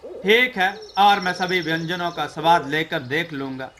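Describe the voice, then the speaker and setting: A man's voice: on the high side, measured pace, a bit bright, a little hiss or hum. A man voicing a confident young storybook prince with an earnest, declamatory delivery. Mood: light-hearted. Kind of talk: storybook character dialogue.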